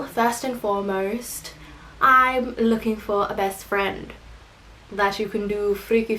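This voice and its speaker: A woman's voice: medium pitch, fairly bright tone, poor audio. A young woman with an Australian accent talks casually to her camera. Her chatter rambles from point to point, and she pokes fun at herself along the way. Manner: chatty and rambling, self-deprecating jokes. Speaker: vlogger. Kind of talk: casual tag video answering questions.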